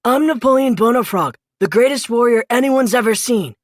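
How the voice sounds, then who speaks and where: A woman's voice: fairly high pitch, moderate pace, no room sound, studio quality, voice pushed up, natural voice. A woman voicing a boastful, eager, quirky male frog warrior in a children's storybook.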